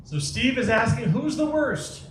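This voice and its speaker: Speaker at a community talk, high-pitched man, energetic, roomy distant sound. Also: a little hiss or hum. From Chicago. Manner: energetic, quick asides, frequent restarts. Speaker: guest speaker. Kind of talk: public talk with audience Q&A. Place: community center hall. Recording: echoey hall, mic at a distance.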